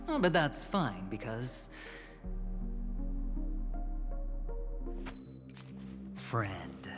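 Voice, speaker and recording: Music plays behind a suave young gambler in a video game, his voice ringing with long reverb. He is smug and scheming, drawing out his teasing offers with theatrical, highly animated intonation. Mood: playful, teasing. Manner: smug, teasing, deliberate with dramatic pauses. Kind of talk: video game dramatic dialogue. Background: clear background music.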